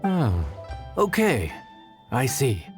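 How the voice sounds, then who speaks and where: A humble, friendly inn chef from a video game, with a mid-pitched voice. His casual chatter rises and falls in a lively way and often hesitates. Light music plays behind him. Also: very slow, full character voice. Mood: helpful. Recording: background music under the voice.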